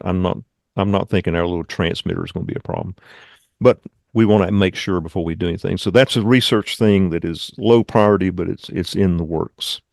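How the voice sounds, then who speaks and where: A man leading an online club meeting, giving informal updates in a halting, rambling, conversational way. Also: low voice, vocal fry, dry recording, clean studio sound. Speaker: radio club meeting leader and presenter.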